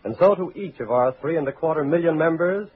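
A man's voice: a little high, breathy edge. Formal 1940s male radio announcer, tinny sound. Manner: formal, measured, declamatory. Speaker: radio announcer and sponsor spokesman. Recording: tinny, faintly noisy 1940s radio recording.

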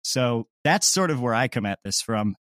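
A man's voice: mid-range voice, expressive, moderate pace, a bit bright, no echo, studio quality. A man talking casually as an interview guest, in a jokey, self-deprecating way. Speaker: audio fiction creator, guest. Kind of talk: casual, animated interview talk.

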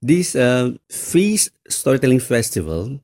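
Storyteller man, deliberate speech. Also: mid-range voice, big pitch swings, a little mellow. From Malaysia. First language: Mandarin. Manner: deliberate, with frequent fillers. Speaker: storyteller guest. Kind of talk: interview answers.

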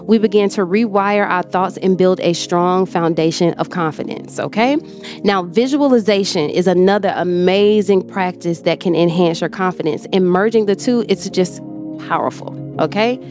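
A woman's voice: lowish voice, normal speed, darkish tone, soft background music. A woman hosting a motivational livestream, talking affectionately to her viewers, repeating phrases and often checking in with "okay?". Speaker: host.

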